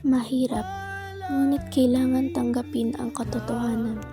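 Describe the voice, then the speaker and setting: A woman's voice: a little high, steady tone, moderate pace, lightly acted. A woman playing the reflective, melancholy inner voice of a young chef in an amateur radio drama. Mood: resigned. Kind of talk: scripted first-person drama narration. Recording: clear music under narration.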